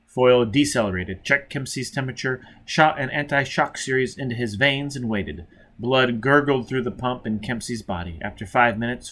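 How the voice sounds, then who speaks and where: A man's voice: medium pitch, fairly bright tone. A man reading a novel aloud as dramatic scripted narration. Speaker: audiobook reader.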